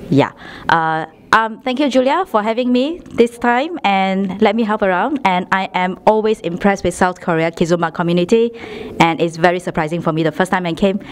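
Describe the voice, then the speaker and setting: A woman from Malaysia, a dance school founder, answering interview questions in a measured way. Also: mid-range voice, slight background noise.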